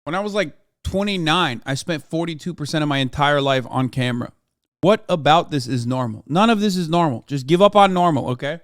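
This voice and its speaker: A man's voice: a little high, fairly quick, no room sound, clean studio sound. A man in his thirties ranting in casual reaction commentary, profane and exasperated. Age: 30s. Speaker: streamer reacting to clips.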